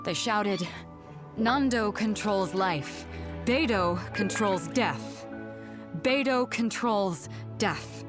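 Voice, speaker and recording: A woman's voice: medium pitch, animated, drawn-out words, music in the background. An actress voicing a proud, tough adult pirate ship captain in a game cutscene, telling her past in a wistful, wry, confident way. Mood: somber. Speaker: game character. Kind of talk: dubbed video game cutscene dialogue.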